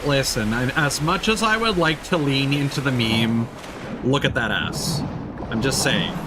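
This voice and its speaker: A man's voice: mid-range voice, measured pace, background noise. A man giving casual livestream gameplay commentary, thinking aloud in a rambling, jokey way. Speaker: video game streamer. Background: game ambience.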